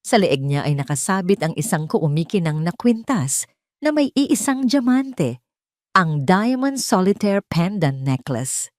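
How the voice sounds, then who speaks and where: A woman's voice: lowish voice, sing-song, a little slow, darkish tone, dry recording, clean studio sound. A Filipino woman narrating a scripted audio drama in a dramatic storytelling style.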